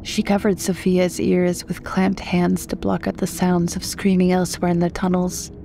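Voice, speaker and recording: A woman's voice: on the low side, monotone, moderate pace, gravelly, warm tone, slight background noise. A woman from the United States reading scripted horror fiction as a story narrator.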